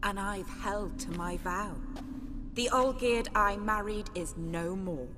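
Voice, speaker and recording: A woman playing a distraught, defiant young noble wife in a video game, with emotional, drawn-out delivery. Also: mid-range voice, long reverb tail, over music, close to an everyday voice. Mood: resolute, sorrowful. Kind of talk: dramatic video game acting.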